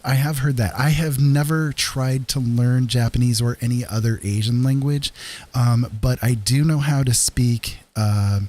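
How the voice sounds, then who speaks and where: A man's voice: mid-range voice, dark tone. An American man hosting a livestream, chatting casually and reassuringly to viewers in a friendly, rambling way. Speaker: live streamer host.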